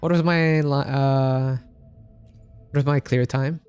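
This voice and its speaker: A man's voice: medium pitch, fairly even intonation, slow pace, darkish tone, clear, resonant tone. A man from the Philippines talking casually as he commentates his live game stream, with game music faintly behind him.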